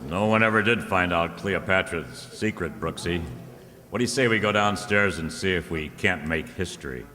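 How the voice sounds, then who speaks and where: Man acting a deep-voiced wry radio detective. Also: normal speed, big-room sound, over music, close to an everyday voice. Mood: brisk, determined. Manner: wry, clipped, slangy. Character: wry, confident male detective, dogged and casual. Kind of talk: old-time radio detective drama acting.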